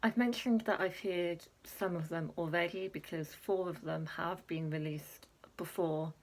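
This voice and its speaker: A young woman chatting casually to camera, filling pauses with ums and laughing at herself. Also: lowish voice, steady tone, rough recording. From Wales. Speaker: Eurovision reaction vlogger.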